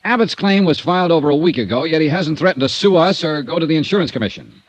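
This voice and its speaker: A quick hard-boiled investigator, lively pitch, dry and probing, old radio show. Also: male voice, dry recording. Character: confident hard-boiled male insurance investigator. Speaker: insurance investigator and narrator. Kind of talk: 1950s radio drama with narration.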